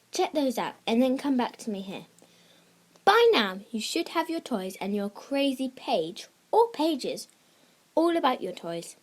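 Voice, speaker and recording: High-voiced woman teaching children. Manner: simple, encouraging address to young listeners. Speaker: creative writing teacher and video host. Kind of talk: scripted instructional narration for children.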